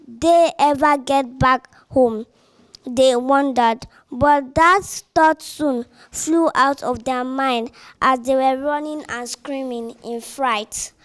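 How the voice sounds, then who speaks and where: A woman reading a children's story aloud in a deliberate, slightly halting way. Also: high voice, fairly bright tone. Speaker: reader.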